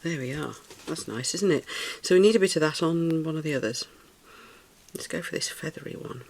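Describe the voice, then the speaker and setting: A British craft tutor talks through a resin project in a relaxed, rambling way and fondly admires each pigment. The voice is a bit stuffed up and snuffly. It carries a gravelly fry. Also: medium pitch, fairly quick. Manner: chatty and rambling, thinks aloud, coos over materials. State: slightly snuffly, recovering from a cold. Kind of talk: casual hands-on craft tutorial.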